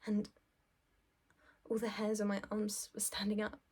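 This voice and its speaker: A woman performing a stage monologue as a self-absorbed, well-off young office worker, shaken, halting and sweary as she recounts events. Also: mid-range voice, monotone, darkish tone. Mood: numb, shaken. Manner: halting, sweary, breathy drops into near-whisper. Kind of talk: dramatic stage monologue, conversational first-person recounting.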